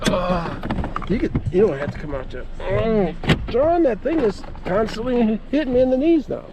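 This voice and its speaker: A man's voice: high-pitched, lively intonation, normal speed, lo-fi sound, very noisy. A man giving a casual, plain-spoken walk-around vlog outdoors, rambling along in a folksy way. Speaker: amateur radio vlogger. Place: outdoors at a campground.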